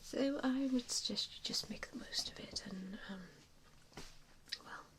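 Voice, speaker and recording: A woman whispering an ASMR roleplay as a gentle vampire mentor, with a hesitant, reassuring and softly spoken manner. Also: mid-range voice, takes it slowly. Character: gentle, self-doubting female vampire mentor to new recruits.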